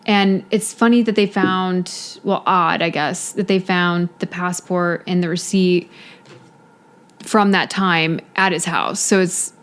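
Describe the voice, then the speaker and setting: A woman's voice: fairly low pitch, steady tone, slow. A woman co-hosting a podcast, telling a case in casual chat with a lot of "you know" fillers. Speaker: podcast co-host.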